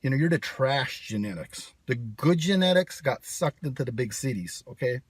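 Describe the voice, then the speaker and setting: A man's voice: mid-range voice, animated, normal speed, husky, no room sound. A man from the United States talking in a brash, sarcastic, rambling monologue to camera. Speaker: channel host. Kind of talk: casual vlog monologue.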